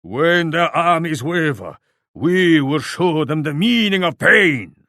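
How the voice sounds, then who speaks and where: A man's voice: a little high, animated, takes it slowly, bright, forceful tone, no echo, studio quality, heavily acted. An actor playing a proud, grim Japanese warlord in a video game, solemn and declamatory as he addresses troops and allies. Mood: defiant resolve. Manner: solemn, declamatory, speaks of himself in third person. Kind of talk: theatrical video game voice lines.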